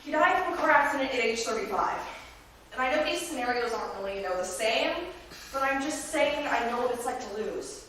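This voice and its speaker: A woman's voice: high-pitched, lively intonation, brisk, a bit bright. A woman playing a feisty, blunt but caring young-show character in a staged reading, emphatic and earnest. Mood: earnest, consoling. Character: feisty, blunt yet consoling resident of troubled TV street. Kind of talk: theatrical stage acting. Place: staged reading in an echoey room. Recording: echoey room, distant mic, faint noise.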